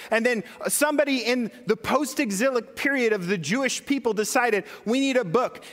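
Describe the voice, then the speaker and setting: A man's voice: very high-pitched, a bit bright, in a large hall. A man preaching a sermon as pastor, talking conversationally and putting questions to his congregation.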